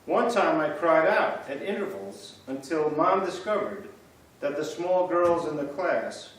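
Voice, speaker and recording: A man performing reader's theater, reading scripted church history stories in a measured storytelling way, in a church. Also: on the high side, lively intonation. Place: church sanctuary. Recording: echoey church from far off.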